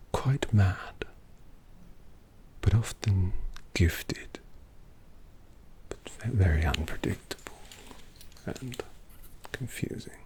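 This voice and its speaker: A man voicing a languid, possessive male vampire artist in an ASMR roleplay, talking softly and teasingly to the listener. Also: deep voice, full character voice. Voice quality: soft whispery, gravelly. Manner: slow, teasing, intimate address to listener.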